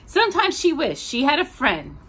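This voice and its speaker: Animated woman reading a children's storybook. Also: sits high, rough recording. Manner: expressive, with drawn-out words for a child listener. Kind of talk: children's picture book read aloud.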